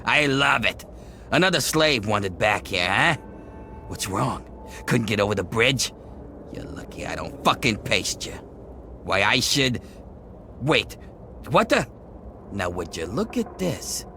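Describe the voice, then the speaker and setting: A man's voice: mid-range voice, very animated, unhurried, long pauses, bright, forceful tone, slight background noise, full character voice. An actor playing a brutal male slaver guard in a video game, taunting and swearing. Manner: taunting and profane.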